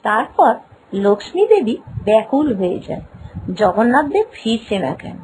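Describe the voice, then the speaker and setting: A woman's voice: a little high, very animated, measured pace, a little mellow, slight background noise, poor audio. A woman narrating a scripted children's moral story with a dramatic storytelling delivery.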